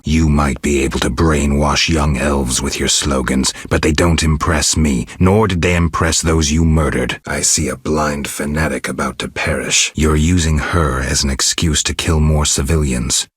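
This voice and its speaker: A man playing a stoic, weary monster hunter in a video game, terse and deadpan, with a raspy voice. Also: moderate pace, hardly any pauses, no room sound, studio quality, heavily acted. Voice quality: raspy, gravelly low voice. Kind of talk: video game character dialogue.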